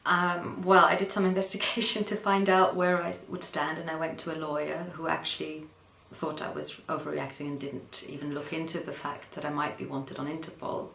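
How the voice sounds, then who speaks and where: Low-voiced, gravelly woman, hesitant. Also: fairly bright tone. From Netherlands. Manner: hesitant, with frequent pauses and ums. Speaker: interview guest. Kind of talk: personal account in an interview. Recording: echoey room.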